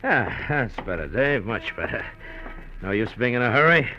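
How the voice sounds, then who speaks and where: An actor playing a menacing, smooth-talking gangster who mocks and threatens with chuckles, in a 1950s radio drama. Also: male voice, mid-range voice, big pitch swings, moderate pace, bright, forceful tone, faint music behind. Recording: dull lo-fi 1950s radio recording with faint hiss.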